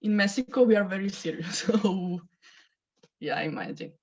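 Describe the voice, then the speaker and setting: A woman's voice: medium pitch, expressive, normal speed, dull audio. A woman, hosting an onboarding call in a chatty, informal way and laughing often. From Italy. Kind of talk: casual online presentation. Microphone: video call.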